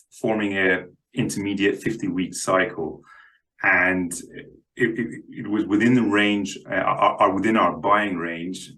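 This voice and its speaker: Low-voiced man, halting market commentary. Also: a bit bright, low-quality recording. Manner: hesitant, halting, often repeating words. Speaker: financial market analyst and guest.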